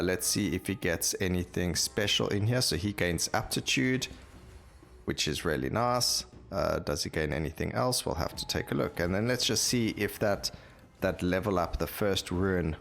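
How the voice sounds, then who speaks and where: Low, gravelly man commentating on a game. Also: normal speed, fairly bright tone. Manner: thinks aloud while reading out on-screen stats. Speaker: gaming YouTuber host. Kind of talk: casual gameplay commentary.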